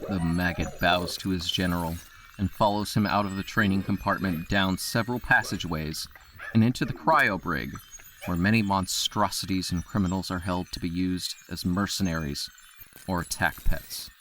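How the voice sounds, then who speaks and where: A man's voice: sits low, fairly even intonation, normal speed, fairly bright tone. A man narrating an audio drama from a script in a storytelling role.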